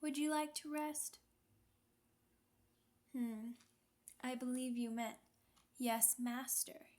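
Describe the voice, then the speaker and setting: A woman's voice: high-pitched, fairly even intonation, a little mellow. A woman voicing a seductive, sadistic female vampire in an intimate ASMR roleplay, commanding and possessive, with condescending mock tenderness. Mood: mock-tender, possessive. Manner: slow, deliberate, condescending pet names, teasing menace.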